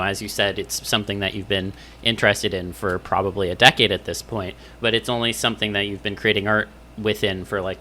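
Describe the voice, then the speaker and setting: A man's voice: on the low side, sharp tone. A man hosting a podcast, chatting in an upbeat, easygoing way. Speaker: podcast host. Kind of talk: casual podcast chat.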